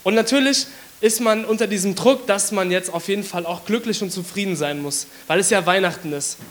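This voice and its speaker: Hoarse man preaching, high voice. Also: fairly quick. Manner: chatty, with many fillers. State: hoarse from a cold. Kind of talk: casual sermon to a congregation.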